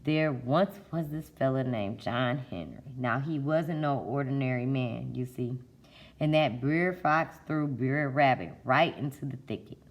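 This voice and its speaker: A woman's voice: very deep voice, unhurried. A woman voicing a folksy storybook teller of tall tales. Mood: folksy, sly.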